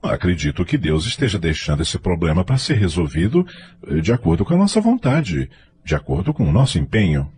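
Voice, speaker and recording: A man's voice: sits low, big pitch swings, fairly quick, darkish tone, slight background noise. An actor playing an earnest, devout middle-aged father in a radio soap opera. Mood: earnest, pleading.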